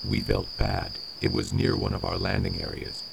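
A man in a radio drama playing an anxious, apologetic alien father with a gravelly voice. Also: moderate pace, lightly acted. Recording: noisy, distant, insect-like background hiss.

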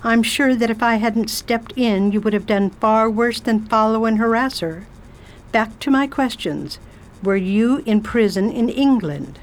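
A woman's voice: on the high side, unhurried, lightly acted, rough recording. A woman playing an imperious, curious English lady traveller in an audio drama, speaking in a formal, old-fashioned way. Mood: commanding, scornful. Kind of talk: audio drama acting and diary narration.

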